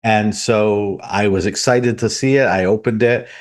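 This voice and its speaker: A man's voice: lowish voice, steady tone, measured pace. A man hosting a podcast in a conversational style. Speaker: podcast host.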